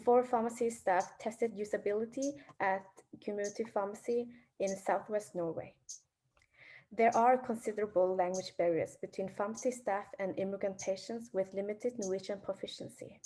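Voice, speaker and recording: A young woman from Vietnam, presenting her research in a careful, measured way. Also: mid-range voice, steady tone, warm tone. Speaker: student presenter.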